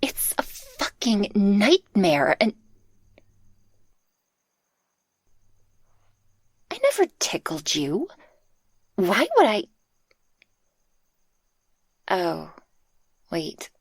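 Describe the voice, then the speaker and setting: A woman playing a tender succubus guardian in an intimate, soft-spoken ASMR roleplay, affectionate and breathy. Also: mid-range voice, wildly swooping pitch, very slow, pauses often, no room sound, clean studio sound, full character voice. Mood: playful, mock indignant. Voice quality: breathy, husky. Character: tender, possessive female succubus guardian, playful and shy in love.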